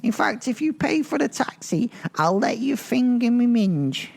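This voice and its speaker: Audio drama: haggard young street mother, high-pitched, slangy, insistent, voiced by a male narrator. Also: very animated, measured pace, creaky, a little mellow, heavily acted. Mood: haggling. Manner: coarse slangy begging and haggling, blunt. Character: worn-out streetwalking mother in her twenties, coarse, insistent.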